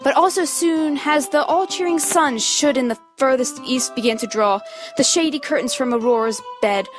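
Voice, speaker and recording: A woman reading a worried noble father in an amateur Shakespeare performance, with measured, formal verse delivery. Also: high-pitched, music in the background, close to an everyday voice. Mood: troubled. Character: worried noble father fretting over his secretive son.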